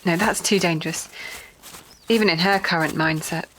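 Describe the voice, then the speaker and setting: A woman's voice: on the low side, fairly even intonation, normal speed, a bit bright, a little hiss or hum, lightly acted, low-quality recording. Woman acting an earnest, cautious Victorian nurse. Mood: sympathetic, concerned. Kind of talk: audio drama acting.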